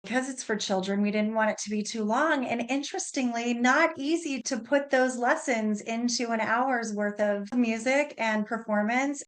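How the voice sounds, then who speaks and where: A woman answering as a radio interview guest, explaining her work in a deliberate, thoughtful way. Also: on the high side. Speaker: interview guest, opera librettist.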